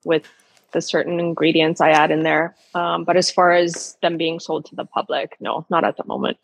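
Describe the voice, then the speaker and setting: A woman's voice: deep voice, level tone, moderate pace, gravelly, dry recording, low-quality recording. A woman talking casually as an interview guest, a cookbook author answering questions in a conversational way.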